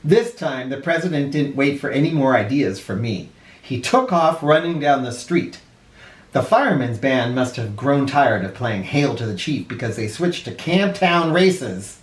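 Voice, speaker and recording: A man's voice: mid-range voice, sing-song, unhurried. A man reading a children's storybook aloud in a theatrical, playful way. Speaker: children's storybook reader, host. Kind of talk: animated read-aloud narration with asides. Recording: roomy echo.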